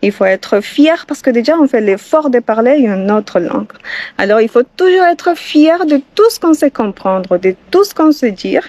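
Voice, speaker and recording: A woman's voice: on the high side, animated, normal speed, a little mellow, no room sound. A Colombian woman with a Spanish accent in French, giving a casual bilingual Spanish lesson outdoors and repeating each phrase in both languages. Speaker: Spanish teacher and channel host. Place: outdoors, by the water, barefoot.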